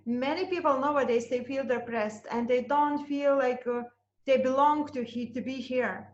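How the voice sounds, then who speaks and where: A woman's voice: sits high, normal speed. A woman from Lithuania, with Lithuanian as her first language, hosting an interview and asking questions in a rambling, non-native way. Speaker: interview host. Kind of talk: conversational interview. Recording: roomy, muffled video call audio.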